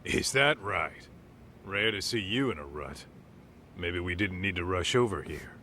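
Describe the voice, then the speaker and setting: An actor playing a gruff, brash tough-guy sidekick in a video game, speaking casually and bluntly. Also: low voice, animated, measured pace, pushed and bright, a little hiss or hum, heavily acted. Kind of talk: naturalistic video game cutscene acting.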